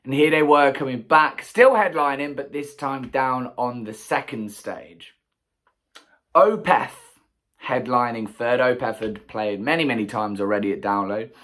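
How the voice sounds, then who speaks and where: A man from England with a croaky voice, chatting casually and enthusiastically as he recaps a music festival for his vlog. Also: mid-range voice, fairly bright tone. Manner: chatty, enthusiastic, rambling asides. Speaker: festival vlogger. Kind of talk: casual solo recap to camera.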